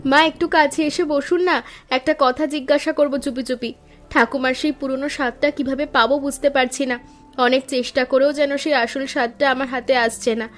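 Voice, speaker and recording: A woman's voice: sits high, steady tone, brisk, lightly acted. A young woman voicing a sensible, traditional elder daughter-in-law in a cartoon, speaking politely and eagerly. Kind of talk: cartoon voice acting.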